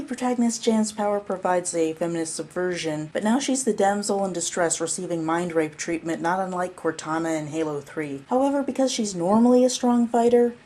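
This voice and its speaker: A woman delivers scripted critical commentary in a slightly low voice. She sets out an argument as a narrator reading a written analysis. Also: darkish tone. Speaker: narrator analyzing an audio drama.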